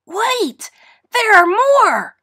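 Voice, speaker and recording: Storybook young boy pig, played by a woman: eager, innocent, very high and animated. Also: very slow, heavily acted. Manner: excitable, earnest.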